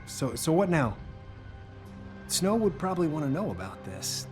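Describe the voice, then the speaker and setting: An actor playing a timid, eager-to-please employee in a noir video game, hesitant and deferential. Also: male voice, on the high side, very animated, normal speed, a little mellow, faint music behind. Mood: nervous, helpful.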